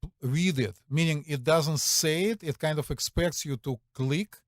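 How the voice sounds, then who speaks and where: A man's voice: fairly high pitch, normal speed, no echo, studio quality. A man with a Russian accent hosting a casual chat, rambling and hesitant with many fillers. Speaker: podcast host.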